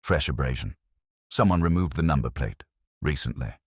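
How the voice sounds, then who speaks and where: A very deep-voiced, brilliant detective of Victorian times, played by a male narrator. He speaks formally and deliberately, gentle yet commanding, with a slightly husky edge. It is a dry, close audiobook delivery. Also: animated, no echo, heavily acted. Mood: keen, deducing. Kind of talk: audiobook character dialogue.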